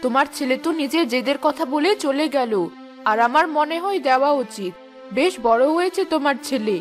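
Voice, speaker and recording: A woman voicing a plain-spoken, sensible village wife and mother in an animated moral story. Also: high voice, fairly quick, soft background music. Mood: questioning.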